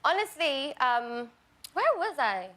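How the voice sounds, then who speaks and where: A woman's voice: sits high, big pitch swings, takes it slowly, a bit bright. A young woman from England with a Bristol accent, chatting as a guest on a talk show, giggly and full of fillers. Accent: English, Bristol. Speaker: guest actress.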